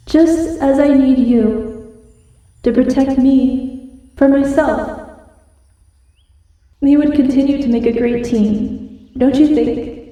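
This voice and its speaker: A woman voicing a shy giantess wolf girl in a slow, hesitant ASMR roleplay. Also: high-pitched, dark tone. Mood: earnest, tender. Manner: slow, hesitant, stammering when flustered. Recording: heavily echoing, distant-sounding voice.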